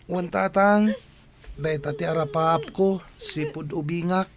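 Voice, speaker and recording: A man's voice: fairly high pitch, a little slow. A man playing an earnest teenage son who protests and implores in a radio drama. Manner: insistent, imploring.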